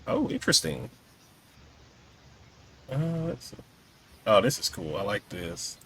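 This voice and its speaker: A man's voice: on the low side, wildly swooping pitch, a little slow, a bit bright. A man hosting a casual podcast interview in an easygoing way with short reactions. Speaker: podcast host.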